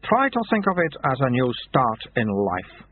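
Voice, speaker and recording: A man's voice: mid-range voice, very animated, normal speed, slight background noise, close to an everyday voice. A man voicing a mysterious recruiter from a secret order of monks, smug and patiently teasing. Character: mysterious dry-witted male recruiter monk. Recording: tinny phone-like sound.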